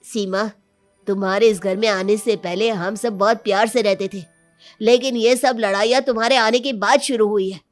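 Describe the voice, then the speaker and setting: A woman's voice: medium pitch, steady tone, moderate pace, soft background music, lightly acted. A woman voicing an angry young husband with a stern, threatening manner in a cartoon family story.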